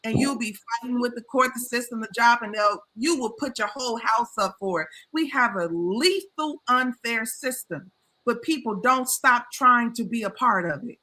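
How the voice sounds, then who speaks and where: Bright, passionate woman. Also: a little high, normal speed, poor audio. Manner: passionate, impassioned. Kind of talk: casual discussion.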